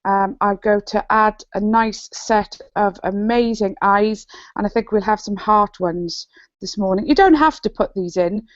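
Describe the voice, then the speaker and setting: A woman's voice: mid-range voice, a little slow, no echo. A woman from South Wales with a Welsh accent, an author and trainer giving an enthusiastic app demo on a video call. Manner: bubbly and enthusiastic. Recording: dull lo-fi video call audio.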